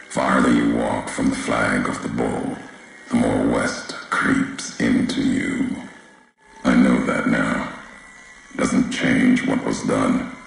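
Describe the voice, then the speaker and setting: An actor playing a brooding, fatalistic warrior courier in a video game, speaking solemnly in clipped, cryptic phrases with a very deep gravelly voice. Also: male voice, heavy reverb, slight background noise, full character voice, poor audio. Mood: grave, reproachful. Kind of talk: video game character dialogue, slow cryptic monologue.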